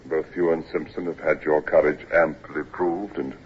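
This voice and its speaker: An actor playing a weary, ailing older naval captain, stern but kindly, in a measured, hesitant old-time radio drama style. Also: male voice, very deep voice, fairly even intonation, slight background noise. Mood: firm, admonishing. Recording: dull old radio recording.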